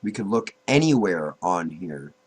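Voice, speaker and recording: Slow, low-voiced man giving a halting tutorial. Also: very animated, husky. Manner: halting, thinks aloud, repeats himself. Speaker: software tutorial presenter. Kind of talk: informal screen-recorded tutorial, unscripted walk-through.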